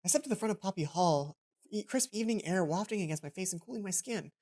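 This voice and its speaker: A woman's voice: mid-range voice, expressive, fast talker, dark tone. A woman doing a casual let's play, commenting playfully and reading visual novel text aloud. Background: game music behind the voice.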